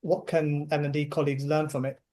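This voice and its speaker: A man hosting an online chat in a somewhat high but mellow voice. He stumbles over his words, repeating himself, and keeps the tone light and joking. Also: little pitch movement, a little fast. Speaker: host. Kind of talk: hosting a webinar fireside chat.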